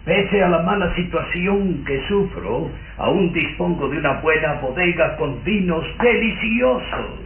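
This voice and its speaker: A man's voice: a little high, animated, a little slow, few pauses, phone-quality sound. An actor playing an elderly, effusive and domineering nobleman father, pompous and sometimes hesitant, in an old radio drama. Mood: hospitable. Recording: thin, noisy old radio recording.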